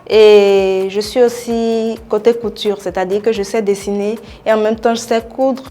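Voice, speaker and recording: A woman's voice: medium pitch, level tone, measured pace, mellow. Young woman contestant, hesitant. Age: 20s. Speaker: fashion contestant. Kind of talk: casual interview.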